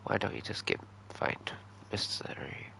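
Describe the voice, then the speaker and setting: A man playing a gruff, unwelcoming desert villager in a video game, speaking in a halting mutter. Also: whispered, natural voice. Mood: curt, dismissive. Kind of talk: reading game dialogue in character.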